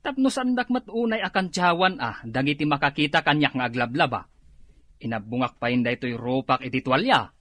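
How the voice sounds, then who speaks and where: Animated grumbling henpecked Ilocano husband. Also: male voice, medium pitch, brisk, no room sound. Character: henpecked village husband, grumbling, teasing, girl-watching. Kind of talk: Ilocano comedy radio drama acting.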